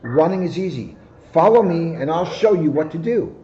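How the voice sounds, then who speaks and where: A man doing a chattering, squeaky squirrel. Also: animated, moderate pace, warm tone, muffled recording, natural voice. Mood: bossy. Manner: chattering and bossy. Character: chattering know-it-all male squirrel.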